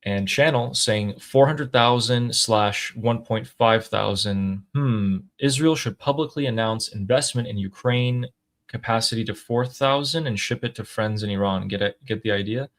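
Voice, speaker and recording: A man co-hosting a live stream, reading viewer messages aloud and thinking out loud in a casual, chatty way. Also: medium pitch, normal speed. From United States. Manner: chatty, thinks aloud with fillers and throat clearing. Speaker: co-host reading viewer super chats.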